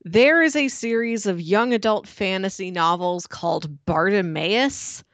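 A woman's voice: medium pitch, unhurried, no echo. Woman co-host in a dry, close studio sound. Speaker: podcast co-host. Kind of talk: casual book discussion.

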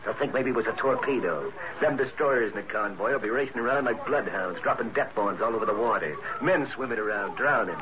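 Low-voiced sardonic saboteur played by a man. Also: fairly quick, hardly any pauses, crowd noise, close to an everyday voice. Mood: sneering, gloating. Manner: tough gangster slang, sardonic. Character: cold, sneering wartime saboteur and enemy agent. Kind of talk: 1940s radio acting. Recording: noisy muffled old radio recording.

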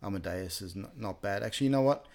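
Male co-host, low and gravelly, loose digressive delivery. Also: quick pace, darkish tone. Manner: rambling, digressive, self-correcting. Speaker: podcast co-host. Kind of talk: casual chat about films.

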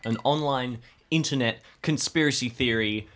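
Male podcast player, medium pitch, playful and informal, introducing his role. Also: moderate pace, fairly bright tone. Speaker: cast member of improvised drama podcast. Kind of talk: casual chat introducing a character.

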